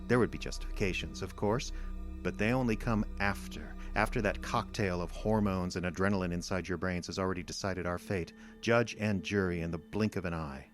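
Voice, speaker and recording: A man playing a low-voiced, condescending alien invader. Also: faint music behind, natural voice. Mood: contemptuous, lecturing. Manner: measured, lecturing, smug. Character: condescending alien invader, cold, patient and contemptuous of humanity. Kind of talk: audio drama villain monologue.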